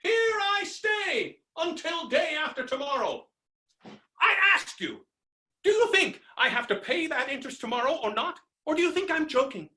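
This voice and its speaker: A man's voice: very high voice, very animated, normal speed, bright, forceful tone, full character voice. A man playing a blustering, boorish, melodramatic fellow who rails against women in a theatrical, mocking way, in a stage comedy reading. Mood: loud indignant demanding.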